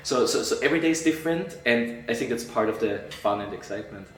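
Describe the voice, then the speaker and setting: A middle-aged man from Austria, an entertainment journalist answering interview questions, animated and acting out quoted colleagues, with frequent restarts. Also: mid-range voice, quick pace. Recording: echoey room, voice a bit far from mic.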